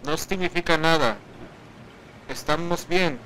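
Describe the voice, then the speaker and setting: A man's voice: fairly high pitch, unhurried, sharp tone, a little hiss or hum, voice pushed up, rough recording. A man voicing a loyal, wary male squad soldier in an audiodrama. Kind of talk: audiodrama acting.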